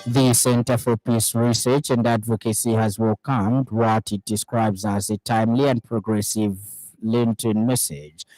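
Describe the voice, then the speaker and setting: A man with a Zambian accent reading a scripted radio news bulletin in a formal, measured manner. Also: lowish voice, little pitch movement, darkish tone, no echo, faint music behind, rough recording. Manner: formal, measured newsreader delivery.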